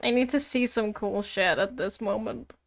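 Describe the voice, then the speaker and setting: A woman game streamer chatting casually and reading game text aloud, with excitable, giggly reactions. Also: medium pitch, normal speed, vocal fry.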